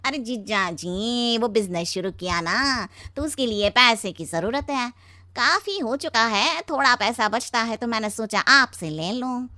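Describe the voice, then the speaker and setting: Cartoon younger brother played by a woman: breezy money borrower, high voice, slow drawl, dry recording. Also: expressive, bright, forceful tone, no room sound. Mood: wheedling. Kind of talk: animated cartoon story dialogue.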